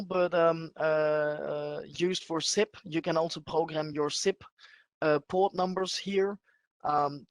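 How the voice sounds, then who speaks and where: Guy doing a software walkthrough, kinda high voice, halting step by step, really dry sound. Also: dry recording, poor audio. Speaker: webinar presenter. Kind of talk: live software demonstration.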